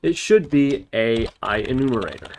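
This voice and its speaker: A man with a medium-pitched voice leads an informal programming tutorial. He talks through his work step by step, thinking aloud as an instructor does while demonstrating on screen. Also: animated, moderate pace, a little mellow, slight background noise. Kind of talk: informal screen-recorded coding tutorial narration.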